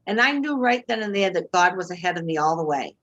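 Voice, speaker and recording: A woman's voice: on the low side, animated, fast, fairly bright tone, dull audio. Earnest Boston-accented older woman. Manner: earnest, faith-filled storytelling. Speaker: guest. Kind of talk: personal testimony in interview. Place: home room indoors. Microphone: video call webcam.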